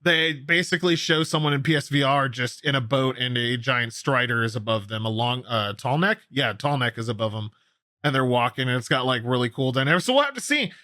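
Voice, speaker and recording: A man's voice: mid-range voice, animated, brisk, pushed and bright, dry recording, studio quality. A man hosting a gaming news show, commenting casually and enthusiastically on stories with a co-host. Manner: enthusiastic, opinionated, conversational.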